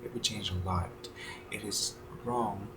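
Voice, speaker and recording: A man in his twenties thinking aloud in a casual video journal, halting with many fillers, restarts and casual swearing. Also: on the low side, steady tone, very noisy. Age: 20s. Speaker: vlogger.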